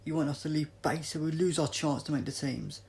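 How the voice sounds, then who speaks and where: A man's voice: on the high side, brisk, gravelly, a little mellow, voice pushed up. A man voicing a stroppy, suspicious young female athlete in a storybook, with a sneering, grumpy manner. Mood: accusing.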